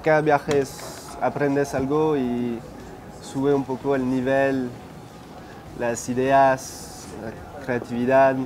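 A man's voice: mid-range voice, level tone, takes it slowly. A man in his twenties from France speaking Spanish with a French accent, answering interview questions as a chef, hesitant with many fillers. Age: 20s. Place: restaurant dining room, indoors. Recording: noisy restaurant ambience.

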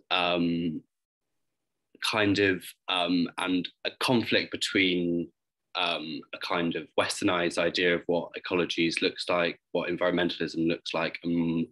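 A young man from Wales with a very low voice. He talks as an artist on a discussion panel, halting and thoughtful as he works through his ideas. He is on a video call from a room at home. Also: a bit bright, rough recording. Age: young adult. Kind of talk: reflective panel talk.

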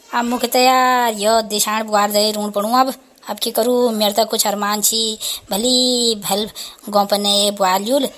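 A woman's voice: mid-range voice, fairly bright tone, close to an everyday voice. A woman voicing an exasperated, scolding village mother with a Kumaoni accent in an amateur cartoon comedy. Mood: dismayed.

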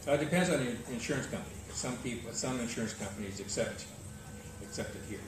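A man's voice: mid-range voice, fast talker. An older man organizing cruising services, answering interview questions in an easygoing, matter-of-fact way on a tropical veranda. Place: open-air veranda, tropical. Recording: echoey, distant, noisy outdoor veranda.

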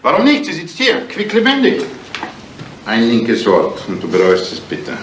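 Smug, lively-voiced crime boss. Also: male voice, medium pitch, moderate pace, background noise. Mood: smug menace. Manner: mock-friendly, taunting. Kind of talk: 1970s German crime radio drama acting.

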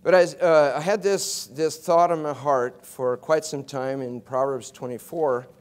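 A middle-aged man delivers a sermon from a pulpit on a college chapel stage, picked up by a pulpit mic. His voice sits a little high for a man, and he swings from soft, low stretches into loud, emphatic runs. He often stammers and restarts his phrases. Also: steady tone, a little slow. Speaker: guest preacher. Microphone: pulpit mic, wide fixed camera.

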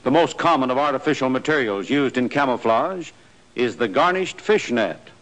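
A man giving scripted, measured instructional narration for a 1940s army training film. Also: medium pitch, animated, a bit bright, rough recording.

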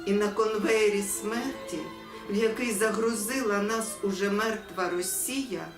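A woman from Ukraine reading poetry in a solemn, deliberate, expressive way with dramatic pauses. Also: mid-range voice. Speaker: poetry reader. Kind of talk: solemn poetry recitation with spoken introduction. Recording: echoey room, distant mic, music behind.

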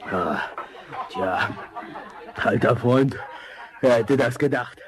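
An actor playing a fat, jovial, money-minded tavern crony in a German fairy-tale radio play, chummy and drawn-out. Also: male voice, medium pitch, natural voice. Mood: jovial, approving.

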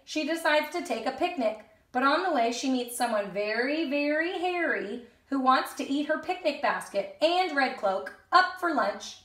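A young woman reading a picture book aloud expressively, the way one does for small children. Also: high-pitched. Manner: expressive, slow and deliberate for children. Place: indoors in a room at home. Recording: echoey room sound.

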